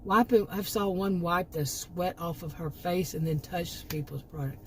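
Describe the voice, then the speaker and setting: Mellow-voiced woman ranting, voice going hoarse. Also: fairly low pitch, normal speed, slight background noise, rough recording. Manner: rambling, emphatic, with frequent restarts and asides. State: losing her voice. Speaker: commentary channel host. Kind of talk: casual opinion monologue.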